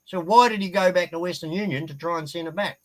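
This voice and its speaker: A man talks as a guest on a live stream. He speaks in a slightly high voice and is blunt and outspoken, with casual swearing. He sounds like he is in casual conversation. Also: fast.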